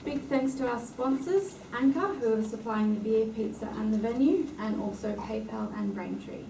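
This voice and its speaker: A woman hosts a tech meetup, briskly reading housekeeping notes and introducing the next speaker. Her voice is a little high and gravelly with fry. She sounds far from the mic in an echoey room with steady background noise. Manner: brisk, reads housekeeping notes with many "uh" fillers. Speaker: meetup host.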